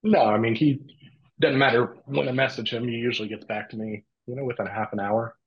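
Husky, monotone man on a call. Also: medium pitch, brisk. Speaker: guest speaker, software customer. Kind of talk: casual chat. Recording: slightly muffled video call audio.

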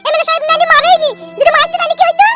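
A woman voicing a scolding, aggrieved village wife and mother in a comedy cartoon, full of shrill, nagging complaints. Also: level tone, rapid-fire. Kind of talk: amateur comedy cartoon dialogue. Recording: music bed behind dialogue.

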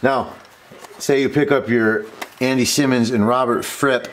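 A man's voice: mid-range voice, unhurried. A man giving a casual hands-on tutorial, rambling and interrupting himself as he goes. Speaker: host of a repair tutorial. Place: indoor workbench. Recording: noticeable steady background noise.

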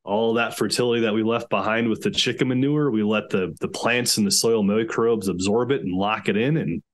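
Enthusiastic low-voiced farmer on a video call. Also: male voice, a little fast. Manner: enthusiastic, rambling with many restarts. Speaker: organic chicken farmer, podcast guest. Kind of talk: casual interview over video call. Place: small room indoors.

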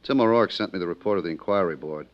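An American actor playing an earnest, thoughtful police inspector in a 1950s radio drama, musing and emphatic. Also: male voice, sits low, quick pace, dull audio, natural voice. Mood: earnest, doubting. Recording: noisy 1950s radio recording.

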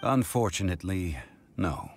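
A man playing a composed, scholarly gentleman in a video game, speaking in a measured, formal manner. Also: low voice, animated, vocal fry, background noise. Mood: composed, pensive. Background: soft game music.

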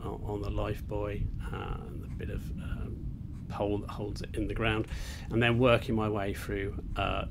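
A man's voice: on the low side, gravelly, background noise. A man explaining an ink sketching demonstration outdoors on a beach, talking hesitantly with many fillers and restarts. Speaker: art teacher. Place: outdoors on a beach, seated on rocks.

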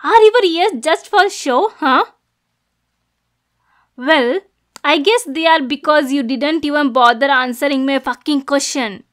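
A woman's voice: sits high, measured pace. A woman voicing a possessive, jealous yandere girlfriend in an ASMR roleplay, menacing and cooing by turns. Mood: angry, accusing. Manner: swings between soft cooing and angry, degrading outbursts. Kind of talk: ASMR roleplay, dramatic monologue.

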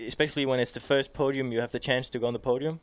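A young man guesting on a studio show as a motocross rider, candid and halting, with many restarts, on a headset mic in a small TV studio. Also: mid-range voice, steady tone, dry recording. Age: young adult.

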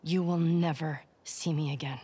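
A woman's voice: sits low, steady tone, normal speed, warm tone, breathy edge. An actress playing a hurt, defiant young female survivor, tense and confrontational, in a dramatic video game. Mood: demanding, restrained. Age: young adult.

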